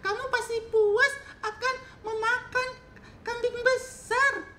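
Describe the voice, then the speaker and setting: A man voicing a timid, pleading young goat in a squeaky falsetto for a children's folktale. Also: fairly even intonation, slow pace, sharp tone, reverberant, heavily acted. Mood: frightened, pleading.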